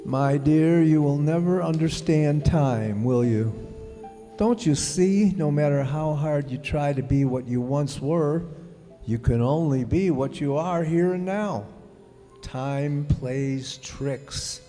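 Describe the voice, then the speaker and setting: Radio drama: prim remembered husband male actor, highish mellow voice, measured, patient reasoning. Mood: patient lecturing. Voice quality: soft. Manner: measured, gently lecturing. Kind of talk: radio play acting. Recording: hall reverb, music bed.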